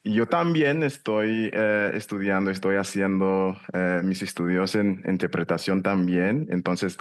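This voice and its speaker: A man interviewing a guest, asking friendly questions and often checking in with a quick tag question. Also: low voice. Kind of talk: casual interview.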